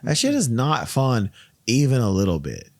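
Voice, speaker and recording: A man in his late thirties hosting a casual podcast chat, swearing freely and telling animated stories. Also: medium pitch, normal speed, no echo, studio quality. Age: 30s. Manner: profane, animated storytelling. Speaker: podcast host.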